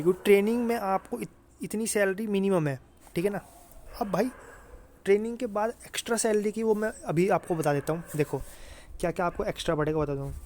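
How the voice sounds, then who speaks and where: A man's voice: sits high, a little mellow, a little hiss or hum. A man with a North Indian accent giving an informal explainer to students, conversational, repeating points and often checking that listeners follow. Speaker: exam-prep YouTuber explaining job salaries.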